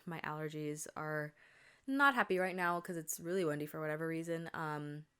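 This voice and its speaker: A young woman chats through her podcast in a rambling, friendly way, full of fillers and restarts. Her voice sits a little low and comes across smooth and clear, though a touch congested and tired. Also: moderate pace, pushed and bright. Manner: chatty, rambling, many fillers and self-corrections. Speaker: podcast host. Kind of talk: casual chat.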